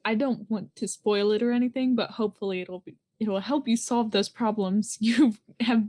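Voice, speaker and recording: Woman playing a highish, goofy teenage boy. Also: normal speed, mellow, close to an everyday voice. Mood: eager, friendly. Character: goofy, eager, easily exasperated teenage boy.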